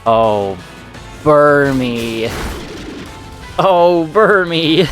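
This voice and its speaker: A man's voice: on the high side, lively intonation, extremely slow, fairly bright tone, soft background music. Chatty man narrating his let's play. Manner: chatty, reacting aloud to the game. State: slightly sick, voice a bit off. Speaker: gaming channel host.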